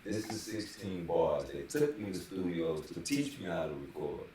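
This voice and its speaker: A man's voice: low voice, sing-song, moderate pace, dark tone, distant mic. A middle-aged man giving a casual, slangy interview, speaking emphatically and often checking for agreement. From San Antonio, Texas. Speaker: interview guest, rapper. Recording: very echoey room, off-mic, faint noise.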